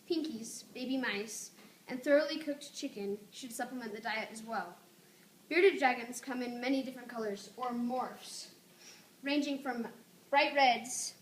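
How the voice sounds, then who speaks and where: A woman reading out a scripted informative speech, enunciating carefully and stressing key words. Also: a little high. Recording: roomy echo, noticeable background noise.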